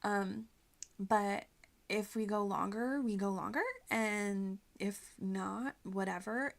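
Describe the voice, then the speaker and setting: Casting: female game streamer, gravelly fry, casual and rambling, giggly, self-mocking delivery. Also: medium pitch, steady tone, takes it slowly. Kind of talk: casual livestream chat over gameplay.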